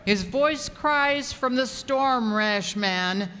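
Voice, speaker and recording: Radio play elderly cleric, acted: pious, calm, grave, slow measured delivery, reverberant. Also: mid-range voice. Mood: solemn. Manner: solemn, measured, pious pronouncements. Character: calm, fearless old priest. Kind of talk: old-time radio drama acting. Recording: hall reverb, faint background noise.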